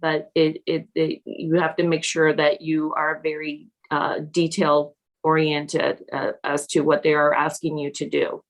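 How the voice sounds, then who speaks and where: A woman from Peru with a somewhat low voice speaks as a guest teacher on a podcast. She talks in a halting way, stopping and restarting her sentences and filling gaps with "um.". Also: completely flat delivery. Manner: halting, with many fillers and restarts. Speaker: National Board certified teacher and mentor. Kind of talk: conversational podcast interview.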